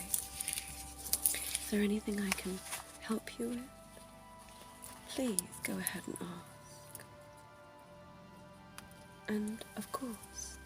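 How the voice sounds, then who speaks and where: Woman as a slow, slinky vampire dentist, flirty ASMR whisper, low and gravelly. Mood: soothing. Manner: soft, teasing, intimate whispering. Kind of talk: ASMR roleplay.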